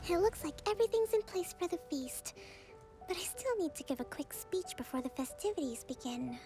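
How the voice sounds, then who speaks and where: A very high, gentle young woman's voice for an anime game's earnest leader. She speaks formally and sincerely, with clear game music behind her. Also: expressive. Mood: calm, thoughtful. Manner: formal, measured, sincere speech. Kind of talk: anime video game voice acting.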